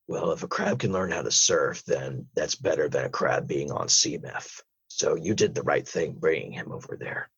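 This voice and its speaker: Gruff whale interrogator male actor, husky and deliberate, stern, improvised audio drama, dull close sound. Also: big pitch swings, a bit bright, exaggerated voice. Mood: stern, matter-of-fact. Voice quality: husky, low. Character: gruff whale interrogator hunting crab drug smugglers.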